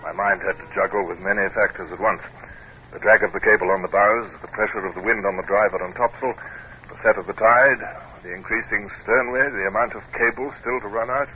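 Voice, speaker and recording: Through clear hiss and a dulled old radio sound comes an actor as a stern sea captain. He is formal, clipped and irritable, with a lowish voice and an air of command. It is period 1950s radio drama acting. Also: fairly even intonation, a little fast, close to an everyday voice. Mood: measured, grave. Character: stern, irritable naval frigate captain, proud and fretful. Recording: muffled, very noisy old 1950s radio recording.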